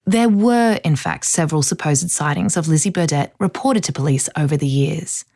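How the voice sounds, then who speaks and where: Composed podcast host, slightly low register, measured, sceptical interviewing style for audio drama. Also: female voice, dark tone. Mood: measured, serious. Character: probing, composed female true-crime podcast host.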